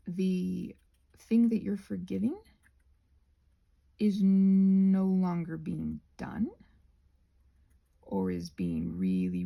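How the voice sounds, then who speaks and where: A woman rambling casually in an ASMR style while crafting, musing aloud and gently reassuring, her soft breathy voice often slipping into a whisper. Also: mid-range voice, lo-fi sound.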